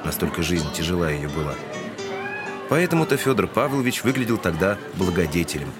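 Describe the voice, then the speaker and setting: A man's voice: sits low, very animated, fairly quick, crowd noise. An actor playing a wry townsman who narrates a literary audio drama. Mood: wry, storytelling. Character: storytelling townsman, gossipy and wry. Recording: music behind the narration.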